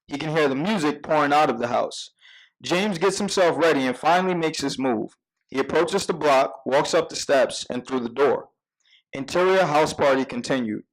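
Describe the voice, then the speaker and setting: A man reading scripted stage directions as narrator at a live table read, measured with occasional stumbles. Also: a little high, poor audio.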